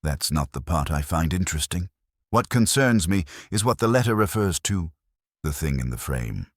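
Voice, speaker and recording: A courteous, persistent police inspector in a mystery tale, played by a male narrator. He is gentle and understated, with a very deep, husky voice. The recording is dry and close. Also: big pitch swings, normal speed, dry recording. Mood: mild, probing.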